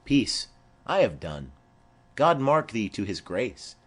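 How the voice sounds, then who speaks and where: Guy doing an old nurse lady from shakespeare, bawdy n fond, slow rambling, pitch all over. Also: big pitch swings, husky, full character voice. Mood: fond, excitable. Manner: rambling, exclamatory. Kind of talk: amateur-style Shakespeare play reading.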